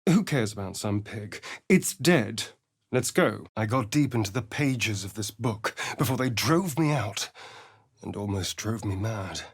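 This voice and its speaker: Flippant haughty vampire for a video game, drawly and sardonic, pitch all over, slow, super dry recording. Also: male voice, expressive, slightly rough, no room sound, heavily acted. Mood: disdainful, sardonic, then hushed narration. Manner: arch, theatrical, drawling, sardonic. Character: sly, theatrical male vampire spawn, flippant and haughty. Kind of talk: video game character voice acting.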